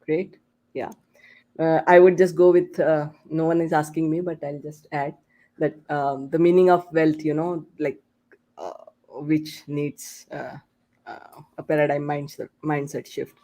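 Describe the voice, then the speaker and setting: Slow-paced podcast host with halting delivery. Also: medium pitch, level tone, mellow. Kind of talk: hosting a panel discussion.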